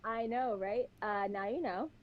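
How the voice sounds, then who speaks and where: A woman's voice: mid-range voice, unhurried. Chatty young woman with a Pittsburgh accent. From Pittsburgh, Western Pennsylvania. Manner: chatty, animated storytelling. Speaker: podcast guest. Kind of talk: casual chat. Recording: slightly dull, lo-fi sound.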